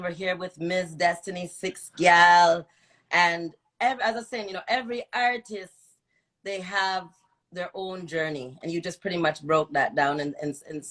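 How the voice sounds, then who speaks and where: A woman's voice: deep voice, fairly bright tone. A woman hosting a casual live-stream interview, encouraging and affirming, often repeating her phrases as she goes. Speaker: host, interviewer.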